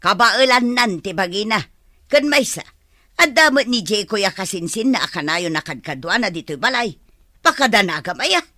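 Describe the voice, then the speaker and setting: A woman's voice: mid-range voice, lively intonation, moderate pace, pushed and bright, no room sound, clean studio sound. An actress playing an irritable, carefree older mother in an Ilocano radio drama, brash and complaining. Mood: irritated.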